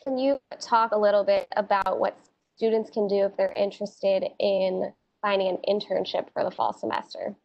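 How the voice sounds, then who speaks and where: A woman's voice: medium pitch, normal speed, vocal fry. A woman moderating a virtual town hall from a home office, reading out student questions and explaining steadily. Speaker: dean and moderator. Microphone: video call on a laptop. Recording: dull, muffled video call.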